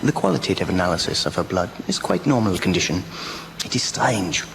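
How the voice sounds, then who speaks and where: An actor playing a grave, urgent old professor in a radio drama, speaking emphatically in halting phrases. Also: male voice, deep voice, lively intonation, creaky. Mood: alarmed. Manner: halting foreign syntax, emphatic. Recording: noisy amateur local radio recording.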